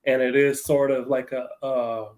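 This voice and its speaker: Flat-toned male interview host. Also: medium pitch, unhurried. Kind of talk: casual interview hosting.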